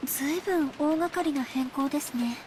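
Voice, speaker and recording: A gentle, eager young maid voiced by an actress in an anime visual novel. Her voice is extremely high and moves quickly, always courteous and deferential. Rain is clearly heard around her. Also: natural voice. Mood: puzzled. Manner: deferential, very polite speech. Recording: clear rain noise over the voice.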